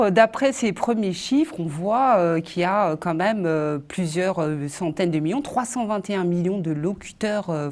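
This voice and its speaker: A woman's voice: mid-range voice, a little mellow. A woman interviewing a guest on television, asking long questions with frequent fillers.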